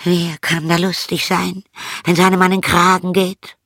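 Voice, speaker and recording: A gloomy old storybook cat, put on by a narrator, grumbling in a high, loud voice. She sounds sulky and sorry for herself as she complains. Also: flat delivery, unhurried, no room sound, clean studio sound. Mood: gloomy, complaining.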